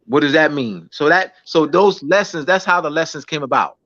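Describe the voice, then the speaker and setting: Man, halting and somewhat high-pitched. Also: animated, a bit bright. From United States. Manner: halting, many restarts and fillers. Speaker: schoolteacher guest. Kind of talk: casual interview talk. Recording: dull lo-fi recording.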